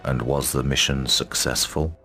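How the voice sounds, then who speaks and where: A man playing a grave, stately fantasy emperor in a game cutscene, gravelly and deep, speaking formally and solemnly. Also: fairly even intonation, moderate pace, sharp tone, soft background music, full character voice. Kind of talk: dramatic game cutscene acting.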